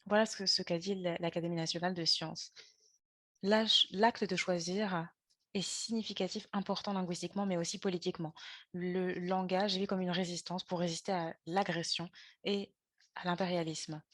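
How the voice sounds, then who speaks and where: A woman delivers a lecture in an online webinar, her voice low-pitched and heard dry with no room sound. She talks haltingly, filling pauses and correcting herself mid-word as she works through her points. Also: steady tone, a bit bright, no room sound. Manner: halting, with frequent fillers and self-corrections. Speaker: webinar presenter. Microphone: video call.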